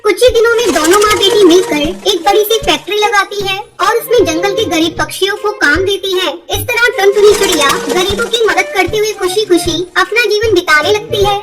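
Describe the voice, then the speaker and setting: A woman's voice: very high-pitched, brisk, few pauses. A woman narrating a scripted children's cartoon story.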